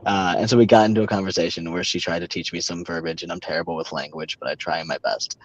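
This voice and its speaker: A young man telling a personal story in a halting way, with frequent pauses and restarts. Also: sits low, fairly even intonation, highs cut off. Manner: halting, many fillers and restarts. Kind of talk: casual storytelling.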